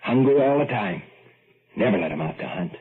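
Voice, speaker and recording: An American actor playing a simple, eager, folksy sidekick to a monster keeper in 1940s radio horror style. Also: male voice, fairly low pitch, expressive, brisk, close to an everyday voice. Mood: eerie, eager. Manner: folksy, colloquial, echoing his partner. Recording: muffled, lo-fi old radio recording.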